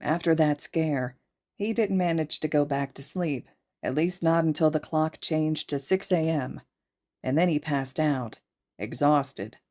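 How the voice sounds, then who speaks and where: A woman's voice: low voice, measured pace, darkish tone. A woman reading a story aloud as a podfic narrator, in scripted narration. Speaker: podfic reader and host.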